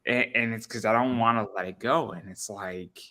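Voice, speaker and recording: A man's voice: lowish voice, normal speed, pushed and bright. A man of about thirty hosting an interview in a casual chat, stammering and repeating words as he thinks aloud. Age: around 30. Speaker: interview show host.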